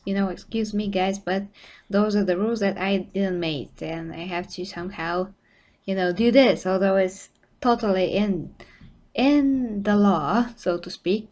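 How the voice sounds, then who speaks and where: A woman's voice: mid-range voice, darkish tone. A woman streamer with an Eastern European accent, talking casually and rambling through a live reaction, often laughing and correcting herself.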